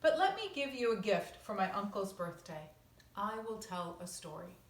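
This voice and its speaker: A woman voicing a gentle, courteous male giant panda from a storybook, in a polite and welcoming manner. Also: mid-range voice, very animated, moderate pace, a little hiss or hum, natural voice. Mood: warm, welcoming. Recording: roomy echo, distant microphone.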